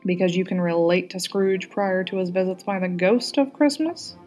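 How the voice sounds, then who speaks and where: A woman's voice: fairly low pitch, normal speed, darkish tone, soft background music, lightly acted. Woman voicing wry, exasperated man in an audiobook. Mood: teasing. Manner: wry, pleading, joking. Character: exasperated, tipsy, teasing Canadian man. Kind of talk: audiobook character dialogue.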